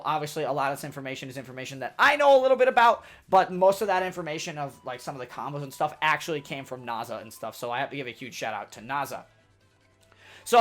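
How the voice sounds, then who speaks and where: A young man with a loud, energetic delivery, reading a scripted tutorial as a gaming YouTuber. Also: high-pitched. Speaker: gaming YouTuber giving a weapon guide. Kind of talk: scripted game tutorial narration.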